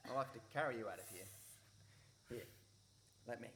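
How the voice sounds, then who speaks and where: A man acting an awkward, caring young Australian friend in a radio play, exasperated but loyal, speaking in a halting way. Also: mid-range voice, a bit bright, natural voice. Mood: concerned, practical. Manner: halting, self-correcting. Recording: noisy live radio play recording.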